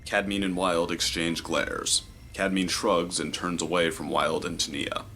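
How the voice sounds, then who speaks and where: A man's voice: low-pitched, little pitch movement, a little slow, bright, forceful tone. A man giving scripted narration of the action in an audio drama, as its narrator.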